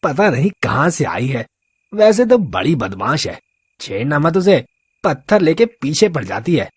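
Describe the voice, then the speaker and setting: A man's voice: on the high side, big pitch swings, measured pace, husky, heavily acted. A man playing a drunk, fawning petty-thug sidekick in a story, chatty and deferential in street slang. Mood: wary, gossipy. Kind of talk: Hindi audio story character acting.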